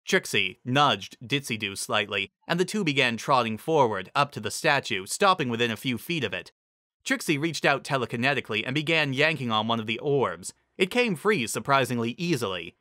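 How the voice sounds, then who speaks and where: A man's voice: mid-range voice, expressive, a little fast, sharp tone, no room sound, studio quality. A man giving a dramatic scripted narration of a story.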